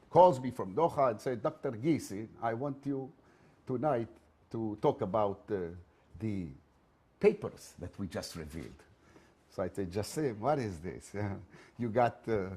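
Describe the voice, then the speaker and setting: A middle-aged man with an Israeli accent, a guest speaker telling anecdotes to an audience in a lively, swinging way. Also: breathy edge. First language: Hebrew. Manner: lively storytelling, big swings in pitch and loudness, laughs at own jokes. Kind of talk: animated public talk with anecdotes. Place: event hall in front of an audience.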